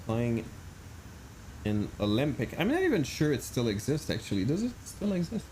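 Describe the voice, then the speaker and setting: A man's voice: mid-range voice, huge pitch swings, normal speed, darkish tone, slight background noise, rough recording. A man streaming a flight simulator, chatting casually, thinking aloud and answering chat with many fillers.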